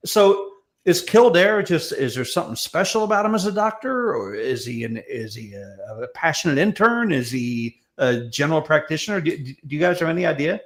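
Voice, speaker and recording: A man's voice: a little high, lively intonation, brisk, few pauses, fairly bright tone. An American man talking casually as a knowledgeable guest commentator, wry and often stammering as he restarts his phrases. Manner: hesitant, stammering, wryly knowledgeable. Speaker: guest commentator on classic film and radio. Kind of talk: casual discussion.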